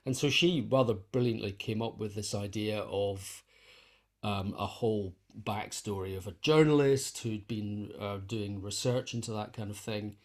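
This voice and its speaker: A man with a fairly low voice talks in a reflective interview. He thinks aloud as he explains, often pausing and reshaping his sentences in a thoughtful, halting way. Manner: thoughtful, halting, self-correcting. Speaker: interviewed composer and musician.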